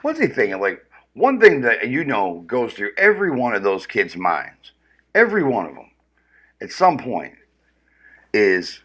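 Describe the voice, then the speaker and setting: A man's voice: mid-range voice, huge pitch swings, unhurried, a bit bright, breathy edge. A man with a powerful voice, ranting casually and profanely with lots of restarts during a comedic livestream. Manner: profane, rambling, restarts often.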